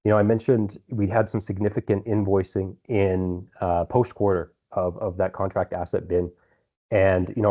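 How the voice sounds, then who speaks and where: A man speaking as a company executive, answering investor questions on an earnings call in measured phrases with some repeated words. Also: sits low, warm tone. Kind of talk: earnings call answers, semi-prepared business talk. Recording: dull, band-limited conference call audio.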